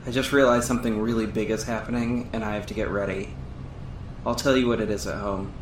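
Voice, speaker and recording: An actor playing an earnest superhero who narrates his own story in a measured, serious audio drama style. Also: fairly low pitch, fairly even intonation, background noise, close to an everyday voice. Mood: brisk, focused. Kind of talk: scripted first-person audio drama narration.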